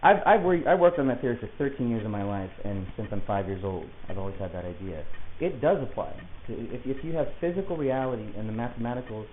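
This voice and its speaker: A man playing an agitated, rambling client who insists he is being targeted, talking in a halting, digressive, self-justifying way in a naturalistic audio drama. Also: mid-range voice, lively intonation, lightly acted. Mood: earnest, insistent. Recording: hissy, tinny phone-like lo-fi recording.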